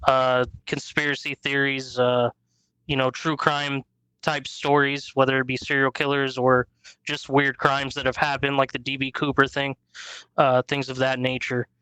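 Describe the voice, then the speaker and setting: A man's voice: mid-range voice, level tone, moderate pace, sharp tone, clean tone, no room sound, low-quality recording. A man in his thirties, a guest podcast host chatting casually and easily about his show. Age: 30s. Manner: easygoing, chatty, storytelling.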